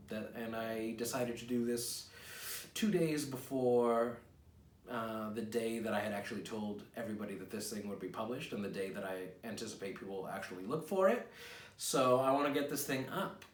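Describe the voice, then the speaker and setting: A man's voice: on the low side, moderate pace. An American man in his thirties talking casually as a writer presenting his own work, rambling in a wry, self-deprecating manner. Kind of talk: casual rambling vlog with poem readings. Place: small home office, indoors. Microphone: camera mic a few feet away. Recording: roomy echo, distant mic, clear noise.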